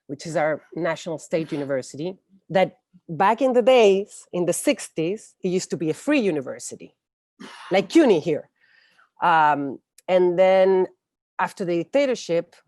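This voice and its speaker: Low-pitched Chilean woman, dry close sound. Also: darkish tone, no room sound. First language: Spanish. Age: 40s. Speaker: interview guest. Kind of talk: explanatory conversation in an interview. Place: indoors, room with bookshelves, on a video call. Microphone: wired earphones on a video call.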